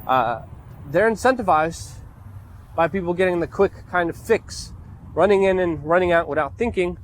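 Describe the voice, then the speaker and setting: A man who grew up in Poland speaks in a slightly high voice, railing against the state of things with biting sarcasm. His delivery is indignant and scolding, a ranting monologue rather than a conversation. A faint rumble of passing vehicles sits in the background. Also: lively intonation, normal speed. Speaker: political commentator.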